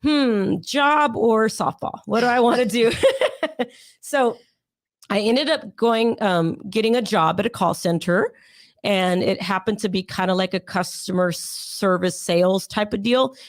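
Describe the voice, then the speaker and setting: A young adult woman, hoarse and raspy from sinus pressure, chatting as an interview guest in a rambling way with many fillers. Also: medium pitch, a little slow, dry recording, clean studio sound. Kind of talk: casual interview storytelling.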